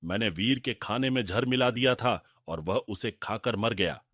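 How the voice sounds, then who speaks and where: A man's voice: lowish voice, normal speed, fairly bright tone, no echo, studio quality. A man voicing a greedy, cowardly village moneylender in a Hindi cartoon. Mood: frightened pleading. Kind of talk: Hindi cartoon character dialogue.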